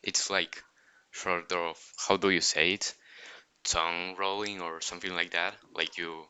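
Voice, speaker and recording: Deep, crisp-voiced man hosting a podcast. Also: little pitch movement, unhurried, pushed and bright, dry recording. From Argentina. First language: Spanish. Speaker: podcast host. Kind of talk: casual podcast interview.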